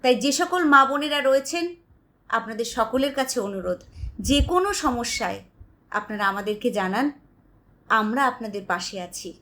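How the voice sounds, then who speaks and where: Woman as society lady orator, raised pitch, pompous, formal, declamatory, story drama. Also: expressive, moderate pace, long pauses, sharp tone. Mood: pompous, solemn. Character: self-important society woman giving a public speech.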